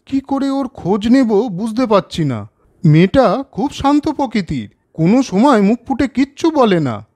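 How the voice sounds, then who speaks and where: A man's voice: high voice, very animated, moderate pace, a little mellow. A man voicing a kind, earnest young coaching teacher in a storybook cartoon.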